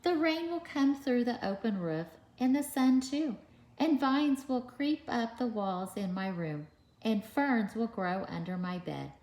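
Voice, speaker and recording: A woman's voice: on the high side, animated, measured pace, darkish tone, pitched above the performer's own voice, low-quality recording. A woman voicing an eager, imaginative, earnest little boy in a storybook reading. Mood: eager, dreamy. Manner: earnest, enthusiastic.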